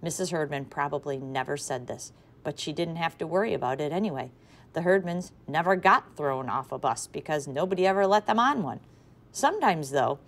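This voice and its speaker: A woman teacher reading a chapter book aloud to her class in a lively, expressive way. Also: sits low.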